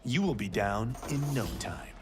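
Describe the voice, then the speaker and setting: A cocky young fighter, animated delivery. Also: male voice, on the low side, a little slow, music in the background. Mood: cocky teasing. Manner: confident, boastful. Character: cocky, brave young farmer and martial artist. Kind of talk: video game cutscene acting.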